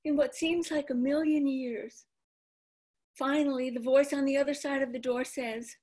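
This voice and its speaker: An older woman of around seventy performing a dramatic, theatrical monologue in an expressive, deliberate manner. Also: high-pitched. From New York, United States. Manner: expressive, deliberate, acted-out delivery. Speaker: stage actress performing an autobiographical monologue. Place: indoor room at home, before a folding screen. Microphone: computer video call microphone.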